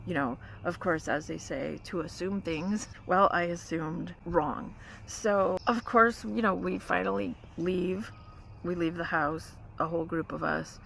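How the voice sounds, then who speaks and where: A woman's voice: on the low side, steady tone, measured pace, creaky, a bit bright. A woman vlogger telling a story in a casual, chatty way, full of "like" and "you know". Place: forest campsite by a car. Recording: outdoor noise with vehicle hum.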